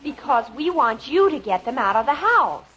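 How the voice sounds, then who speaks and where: An actress playing a bossy, persuasive teenage older sister in a 1950s radio sitcom. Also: female voice, high-pitched, very animated, moderate pace. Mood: persuading. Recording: very noisy, muffled old radio recording.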